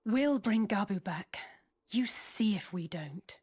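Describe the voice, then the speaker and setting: A woman playing a brisk, determined young heroine in a fantasy video game, her voice slightly husky. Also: medium pitch, expressive, a little mellow. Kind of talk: scripted video game cutscene acting.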